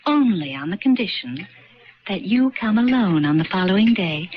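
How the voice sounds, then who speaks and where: An actress playing an ancient, sly witch who poses as a woman, in vintage radio drama style, with slow and insinuating delivery. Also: mid-range voice, lively intonation, breathy edge. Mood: coaxing, seductive. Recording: muffled noisy vintage radio recording.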